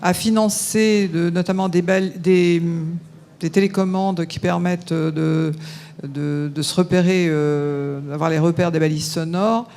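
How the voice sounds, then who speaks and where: A local elected official, a man, adding a halting, informal remark from the floor at a conference. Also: high voice, fairly even intonation, darkish tone. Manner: halting, informal, many restarts.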